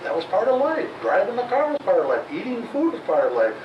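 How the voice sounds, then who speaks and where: A man's voice: high-pitched, wildly swooping pitch, quick pace, distant mic. A man recounting past events in an interview, rambling and hesitant, on an old documentary tape. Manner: rambling, hesitant, repeating himself. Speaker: convicted killer giving an interview. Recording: muffled, echoey, noisy documentary archive tape.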